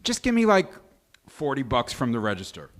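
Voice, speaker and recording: A man's voice: medium pitch, huge pitch swings, normal speed. A man playing a defensive, exasperated woman in a live sitcom table read.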